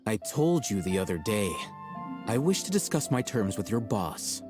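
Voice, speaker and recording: A man playing a cool, calculating, self-assured young scholar in a video game, speaking in a measured, formal, deadpan way. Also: fairly low pitch, music in the background. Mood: cool, firm.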